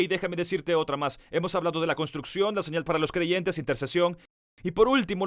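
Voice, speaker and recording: A man preaching a sermon as pastor. He speaks earnestly, talks straight to the listener and slows down to stress key words. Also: on the high side, no room sound, studio quality. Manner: addresses the listener directly.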